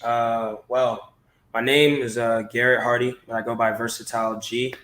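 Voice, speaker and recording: A man's voice: medium pitch, little pitch movement, normal speed, a bit bright. A man from Maryland speaking casually as an interview guest, his talk peppered with "you know". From Maryland, DC area, United States. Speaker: interview guest, talent manager.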